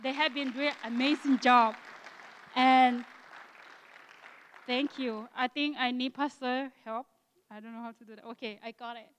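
A woman in her sixties from Thailand with an Akha Thai accent, speaking to a church in halting English and often searching for words. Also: high voice, fairly even intonation, fairly bright tone, in a large hall, some chatter behind, a little hiss or hum. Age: 60s. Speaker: guest missionary speaker. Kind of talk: church talk with thanks.

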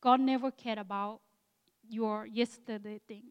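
Woman, 60s, Akha Thai accent, halting second-language English, smooth clear voice, reverberant church hall. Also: fairly high pitch, steady tone, muffled recording. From Thailand. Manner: halting, searching for words, frequent fillers. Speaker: guest missionary speaker. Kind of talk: church talk with thanks.

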